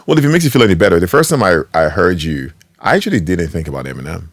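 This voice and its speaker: A man hosting a casual interview podcast, talking with enthusiasm, quick to laugh and swinging between loud and soft. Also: sits low, no room sound, clean studio sound. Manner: animated, laughs easily, enthusiastic. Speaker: podcast host.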